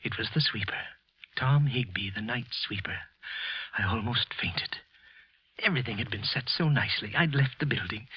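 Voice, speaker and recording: An actor playing a guilty, nervous office worker in a vintage radio thriller, speaking in a deliberate, intense, brooding manner. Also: male voice, mid-range voice, expressive, breathy edge. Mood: shaken, nervous. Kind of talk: old-time radio thriller acting, first-person narration. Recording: muffled vintage radio recording with music.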